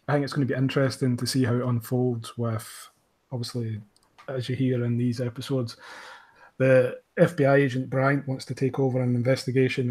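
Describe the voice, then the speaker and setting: A man's voice: mid-range voice, slightly rough. A man talking casually as a podcast guest, discussing his own creative work.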